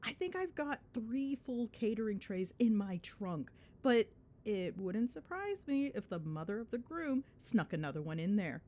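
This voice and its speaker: A woman playing an affectionate, teasing, excitable young girlfriend chatting on a call in an ASMR roleplay. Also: mid-range voice, a little slow. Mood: excited. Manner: playful, chatty, expressive, mildly sweary.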